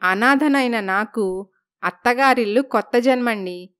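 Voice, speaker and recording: Woman as a meek young daughter-in-law, high clear voice. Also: measured pace, fairly bright tone. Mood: timid, grateful. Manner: deferential, polite.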